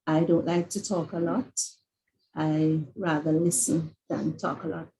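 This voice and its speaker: A woman gives a short, plain self-introduction to her class over a video call. Her voice sits on the low side, with a faint hiss under it. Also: flat delivery, unhurried, dark tone, rough recording. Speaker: student.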